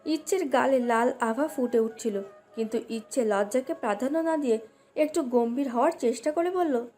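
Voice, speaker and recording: Female narrator for romantic audio fiction, high register, scripted read with a light music bed underneath. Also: normal speed, dark tone. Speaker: story narrator. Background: background music.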